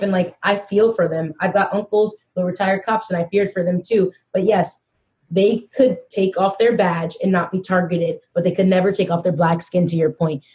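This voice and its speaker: A woman hosting a podcast, speaking earnestly and slowing down to stress her points. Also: mid-range voice, darkish tone. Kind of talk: casual podcast discussion.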